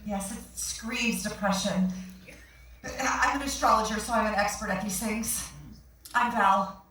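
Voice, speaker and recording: A woman's voice: medium pitch, steady tone, a little slow, bright, forceful tone. A woman acting a dreamy, effusive new-age astrologer in a staged comedy, with a gushing, mystical manner. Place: theatre stage, recorded from the audience. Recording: echoey theatre, far mic, noisy.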